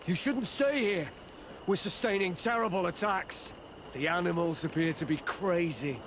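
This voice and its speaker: A man's voice: sits high, normal speed, background noise, lightly acted. A man voicing a dutiful, worried military officer of a besieged outpost in a video game, earnest in manner. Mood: anxious, urgent. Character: worried male outpost officer, dutiful soldier under siege. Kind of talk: video game cutscene acting.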